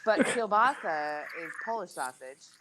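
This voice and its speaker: A woman's voice: mid-range voice, very animated, a little slow, background noise. A woman from Minnesota chatting casually as a co-host, teasing and laughing often. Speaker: live chat co-host. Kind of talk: casual banter.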